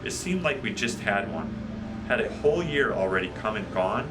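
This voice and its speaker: A man narrating a children's audiobook in a measured, deliberate way, recorded at a slight distance from the mic. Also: fairly low pitch, very animated, background noise. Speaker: guest storybook reader.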